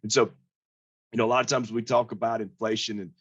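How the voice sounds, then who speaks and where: A hoarse man, a financial advisor, giving a casual client update in a plain-spoken, conversational way. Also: mid-range voice, little pitch movement, fast talker, fairly bright tone, no room sound, highs cut off.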